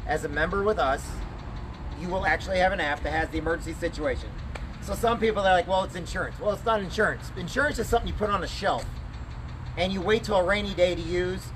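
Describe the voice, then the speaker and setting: Young man pitching outdoors, traffic behind. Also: fast. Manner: conversational, frequent "okay?" check-ins. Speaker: legal plan presenter. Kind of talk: informal sales pitch to camera. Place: outdoor parking lot beside a truck.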